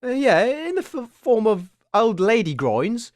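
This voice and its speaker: A man's voice: high voice, sing-song, measured pace, dry recording, clean studio sound. A man co-hosting a comedy radio show in a mock-formal, bemused presenter manner.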